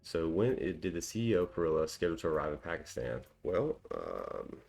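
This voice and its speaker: A man with a deep, low voice talks casually through a screen-recorded tutorial, thinking out loud and full of ums and quick chuckles. Faint music plays softly behind him. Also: very animated, vocal fry, husky. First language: English. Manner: chatty and self-deprecating, lots of ums and small laughs. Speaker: cybersecurity tutorial host.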